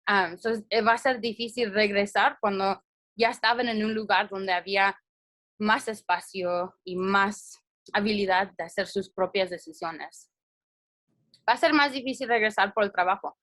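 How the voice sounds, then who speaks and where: A woman's voice: mid-range voice, moderate pace, fairly bright tone, no echo. A young woman giving a community presentation, earnest and hesitant, with many fillers and restarts.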